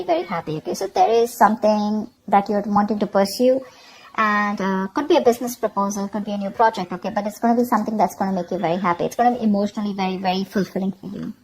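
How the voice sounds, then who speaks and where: A woman's voice: medium pitch, a little mellow, slight background noise, poor audio. A woman giving an improvised tarot reading, speaking conversationally to the viewer and often checking in with little tag questions. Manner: conversational, often checking in with okay and you know. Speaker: tarot reader and channel host.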